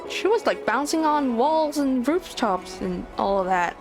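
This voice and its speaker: A woman's voice: high-pitched, lively intonation, unhurried. A woman streamer giving casual, exclamatory commentary while playing a game. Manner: exclamatory, reactive. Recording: game music behind.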